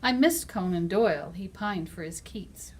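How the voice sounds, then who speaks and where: A woman's voice: lowish voice, expressive, a little slow. A female voice reciting rhymed verse aloud as a reader in a recital. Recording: faint room noise.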